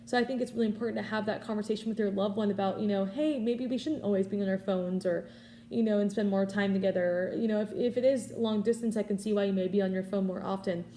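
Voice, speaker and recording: A woman's voice: medium pitch, steady tone, fast, warm tone, slight background noise. A woman in her early twenties giving an informal prepared speech, offering earnest advice in a conversational way. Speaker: student public speaker.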